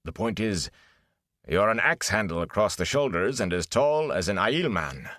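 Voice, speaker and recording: A man doing a booming, theatrical old gleeman. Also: very animated, normal speed, fairly bright tone, no echo, studio quality. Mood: appraising. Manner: grand, booming, scornful asides. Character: flamboyant older male gleeman, theatrical and scornful.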